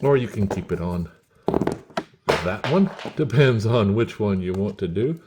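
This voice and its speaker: A man giving a casual, unhurried product review, talking in short phrases with pauses and repeating himself. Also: lowish voice, lively intonation, very noisy. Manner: unhurried, repetitive, pausing between short phrases. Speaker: toy reviewer, host.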